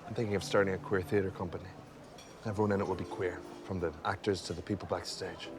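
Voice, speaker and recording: An actor playing a smug, passive-aggressive, controlling boyfriend in film comedy dialogue, self-important and understated. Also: male voice, deep voice, flat delivery, fast talker, noisy background, lightly acted. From Ireland. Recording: music behind the dialogue. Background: music.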